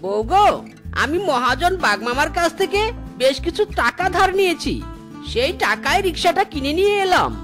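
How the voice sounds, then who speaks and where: A woman's voice: high-pitched, very animated, moderate pace, fairly bright tone. A woman voicing a doting, eager male fox husband in a cartoon, with a coaxing, repetitive way of talking. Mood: reassuring, determined. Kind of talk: exaggerated cartoon dialogue. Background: background music.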